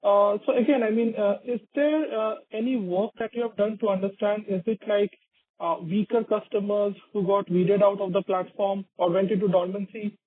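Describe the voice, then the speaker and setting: High-pitched, husky Indian man on phone. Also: steady tone, moderate pace. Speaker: analyst asking questions on an earnings call. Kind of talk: detailed unscripted question asking. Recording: dry, phone-like conference line.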